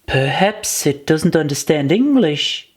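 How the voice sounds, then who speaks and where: A woman's voice: low-pitched, expressive, slow pace, voice pushed up. A woman voicing a polite, curious young girl in a children's audiobook, earnest and chatty in manner. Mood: puzzled.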